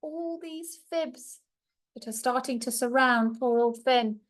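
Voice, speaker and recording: A woman's voice: sits high, slow. A woman reading a storybook aloud to young children like a primary teacher, expressively and with little asides to her listeners.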